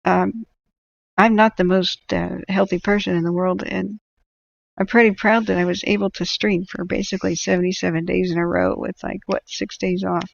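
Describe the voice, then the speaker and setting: A tired woman with a gravelly, creaky voice rambles casually to her stream viewers, stopping often on ums and uhs. Her throat sounds ragged and worn out. The audio is dull and lo-fi, like a home livestream. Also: mid-range voice, level tone. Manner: rambling, halting, many fillers. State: exhausted. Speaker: wildfire tracking livestream host.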